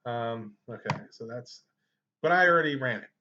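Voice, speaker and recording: Guy walking thru a software tutorial, chatty and explanatory, kinda muffled lo-fi mic. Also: medium pitch, moderate pace, noisy background. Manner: conversational, explanatory. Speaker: genealogy tutorial host. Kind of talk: informal screen-share tutorial. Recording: dull lo-fi microphone sound.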